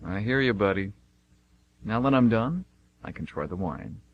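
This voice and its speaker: A man's voice: on the low side, expressive, normal speed, dull audio, slight background noise, natural voice. Breezy American tourist guy, acted by a man. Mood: content. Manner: informal, breezy. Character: easygoing, chatty, naive male American tourist. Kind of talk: amateur radio play acting.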